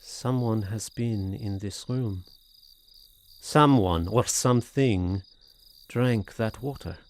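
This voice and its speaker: An actor playing a tormented, anxious French gentleman in an audio horror drama, speaking formally with hesitant, brooding, theatrical delivery. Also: fairly low pitch, takes it slowly. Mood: uneasy alarm. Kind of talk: audio horror drama acting, period literary diction.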